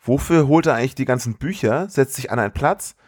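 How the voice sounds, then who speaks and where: A man's voice: medium pitch, expressive, quick pace, a little mellow, no echo, clean studio sound. A man co-hosting a casual film podcast, rambling loosely and enthusiastically in slangy language. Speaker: podcast co-host.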